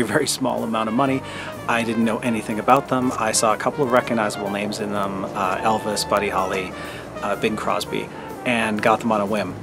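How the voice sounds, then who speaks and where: A middle-aged man giving a thoughtful, halting personal monologue as a vlogger, in a measured way. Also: fairly low pitch. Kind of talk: personal reflective monologue to camera.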